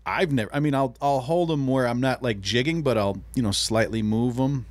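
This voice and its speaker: A man's voice: medium pitch, a little fast. A man chatting casually about fishing as a podcast host, making his points emphatically and repeating phrases as he goes.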